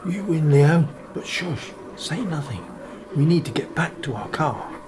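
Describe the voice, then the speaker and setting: A man's voice: fairly high pitch, lively intonation, unhurried, noisy background. A man playing a reassuring but secretive father in a radio play, paternal and coaxing. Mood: firm, guarded.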